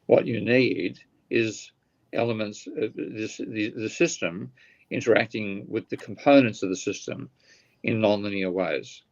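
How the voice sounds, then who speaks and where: A tired man whose voice is getting croaky, explaining ideas in a live online discussion, thinking aloud with halting restarts. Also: on the low side, lo-fi sound. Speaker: guest economist. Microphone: video call.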